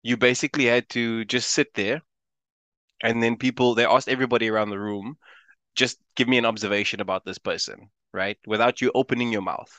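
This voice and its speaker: A man's voice: mid-range voice, steady tone, a little fast, sharp tone, no echo. A man from South Africa with a South African accent, a stand-up comedian chatting casually and laughing often as an interview guest.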